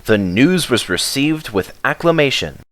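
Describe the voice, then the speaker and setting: Animated male storybook narrator, dry sound. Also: medium pitch, measured pace, no echo. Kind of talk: expressive scripted children's story narration.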